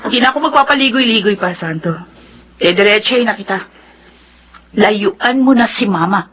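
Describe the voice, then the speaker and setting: An actress playing a grieving, defiant daughter in an emotional, confrontational Filipino radio melodrama. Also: female voice, mid-range voice, normal speed, a bit bright, husky. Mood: accusing. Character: grieving, defiant daughter fiercely defending her dead father.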